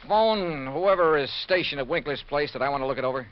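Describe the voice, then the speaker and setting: An American man playing a brisk, shrewd insurance investigator in 1950s radio detective style, clipped, wry and questioning. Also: a little high, expressive, lightly acted. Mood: brisk, urgent. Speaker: lead actor and narrator of a detective radio series. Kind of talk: 1950s radio detective acting with first-person narration. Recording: muffled vintage radio recording with music.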